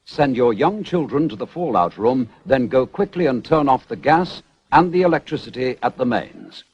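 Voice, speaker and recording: A British man reading a 1970s public information film script in a measured, formal, instructional way. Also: medium pitch, expressive, breathy edge. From United Kingdom. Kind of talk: scripted narration, 1970s civil defence announcement. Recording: dull, band-limited 1970s film soundtrack.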